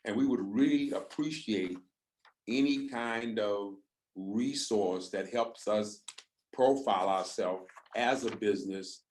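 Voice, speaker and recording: A man speaking for a community organization on a panel, answering slowly and deliberately with pauses in the middle of his phrases. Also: fairly low pitch, darkish tone.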